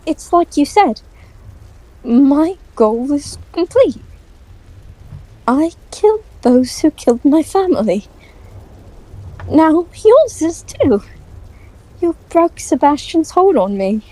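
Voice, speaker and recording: Woman acting slow, brooding, bitter young nobleman. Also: sits high, very animated, dark tone, heavily acted, rough recording. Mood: despairing, pleading. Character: bitter, grief-stricken young nobleman living under false identity. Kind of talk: audio drama acting.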